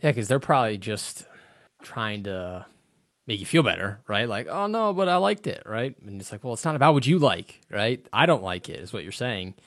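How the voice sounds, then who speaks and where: Male interviewer, low-pitched, warm-hearted questioner on a dry recording. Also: animated, moderate pace, dry recording. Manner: empathetic, thinks aloud, many "right?" tags. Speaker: interviewer host. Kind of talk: conversational interview.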